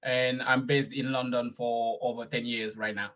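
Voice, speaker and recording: A man's voice: medium pitch, normal speed. Hesitant Senegalese man, muffled call. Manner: hesitant, many ums, calm. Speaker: guest fan. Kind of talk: interview over a call. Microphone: phone or video call.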